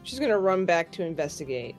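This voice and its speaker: A woman's voice: fairly low pitch, big pitch swings, fast. Casual woman tabletop gamer, music behind. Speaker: player in a tabletop roleplaying game. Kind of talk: casual game chat.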